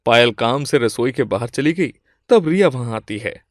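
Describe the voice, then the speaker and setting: A man's voice: medium pitch, animated, moderate pace, no room sound. Man narrating a story, very dry sound.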